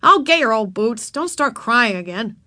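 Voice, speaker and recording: A woman's voice: high voice, very animated, moderate pace, fairly bright tone, dry recording, voice pushed up. A woman voicing a big schoolboy traffic monitor who talks in a cross, grudging way in a children's story. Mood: exasperated.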